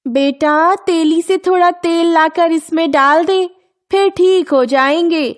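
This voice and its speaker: Woman acting a very high-pitched village mother. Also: slow pace. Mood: helpful. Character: helpful village mother advising her son. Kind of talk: cartoon children's story acting.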